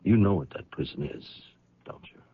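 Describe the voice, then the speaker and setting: An actor playing a grave, persuasive man in a radio drama, solemnly coaxing someone guilty with measured, dramatic delivery. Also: slight background noise, natural voice. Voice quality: gravelly, husky. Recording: muffled, narrow-band old radio broadcast.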